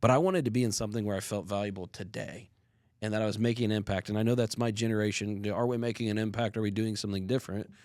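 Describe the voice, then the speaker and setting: A man's voice: lowish voice, fairly even intonation, quick pace, a little mellow, no echo. Earnest man on a dry studio mic. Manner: earnest, reflective storytelling. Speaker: podcast co-host. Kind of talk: casual podcast conversation.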